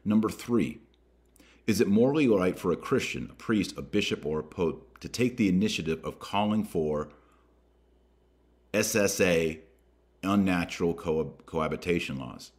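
An American man hosting a podcast, reading a document aloud and commenting on it with deliberate emphasis. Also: deep voice, animated, darkish tone. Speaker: podcast host.

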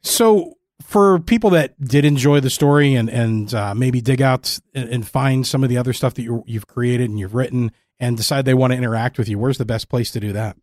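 A man with an American accent talking as a podcast host in a relaxed interview style. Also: mid-range voice, a little fast, few pauses, no room sound, clean studio sound.

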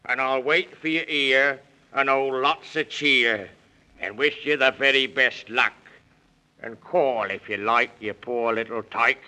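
Jaunty british walrus, real sharp voice, slow talking, encouraging, old kids radio rhymes. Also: male voice, mid-range voice, low-quality recording. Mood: cheery encouragement. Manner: jaunty, reassuring, speaking in rhyme. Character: Sir Algy, cheery encouraging British gentleman walrus. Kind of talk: 1930s children's radio serial acting.

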